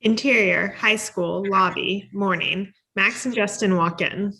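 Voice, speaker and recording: A woman's voice: medium pitch, a little slow, creaky, bright, forceful tone, poor audio. A woman reading stage directions as scripted narration at a table read.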